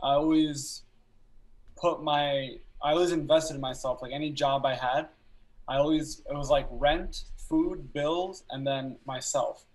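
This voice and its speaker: Young male guest, Albanian first language, slightly higher voice, relaxed storyteller, laptop mic. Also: measured pace, poor audio. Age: young adult. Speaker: guest filmmaker. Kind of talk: casual interview chat. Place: small room at home.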